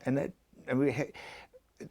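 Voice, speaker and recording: A man's voice: mid-range voice, flat delivery, takes it slowly, darkish tone. A middle-aged man with a New Zealand accent, a host talking in a reflective, plain-spoken way. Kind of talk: interview conversation.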